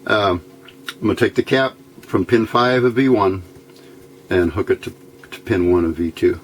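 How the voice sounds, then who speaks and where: A man's voice: low voice, fairly even intonation, moderate pace. A man giving a casual step-by-step demonstration as a hobbyist instructor, folksy and muttering to himself as he works. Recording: clear background noise.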